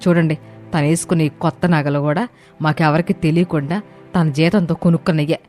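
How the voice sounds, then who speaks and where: A woman's voice: deep voice, steady tone, brisk, a little mellow, soft background music, close to an everyday voice. A woman voicing a bitter, scornful older mother-in-law in a family moral story, grumbling and accusing. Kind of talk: animated moral story dialogue.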